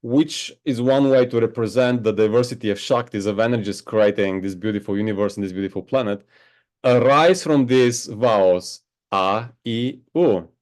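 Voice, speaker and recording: A man who teaches meditation, answering interview questions in a slow, reflective way and often checking in with "right?". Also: on the low side, darkish tone. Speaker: spiritual teacher. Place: home on a Hawaiian island.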